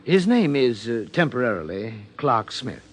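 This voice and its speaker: An actor playing an earnest, hesitant psychiatrist in a 1950s radio drama, with formal, halting phrasing. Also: male voice, medium pitch, very animated. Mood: hesitant, careful.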